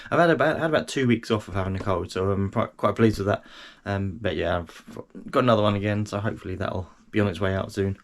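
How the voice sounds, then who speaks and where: Guy with a cold, bit nasal, lowish voice, rambles with lots of ums. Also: quick pace, few pauses. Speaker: eBay reseller vlogger. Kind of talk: casual chat to camera.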